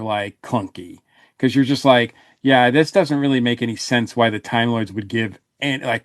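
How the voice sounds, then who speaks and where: Guy on a review podcast, animated casual chat, acts out little quotes, very dry close sound. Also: mid-range voice, no room sound. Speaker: podcast panelist reviewing audio drama.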